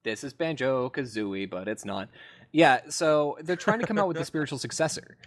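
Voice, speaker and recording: A man's voice: medium pitch, moderate pace. A man co-hosting a casual gaming podcast, talking conversationally and acting out imagined quotes as he goes. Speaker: podcast co-host. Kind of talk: casual video game podcast discussion.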